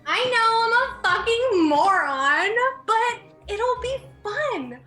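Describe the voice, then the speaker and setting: Woman playing a very high, squeaky ditzy college girl. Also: animated, slow, sharp tone, reverberant, soft background music, heavily acted. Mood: giddy. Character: bubbly ditzy young college sorority girl. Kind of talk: dramatic reading.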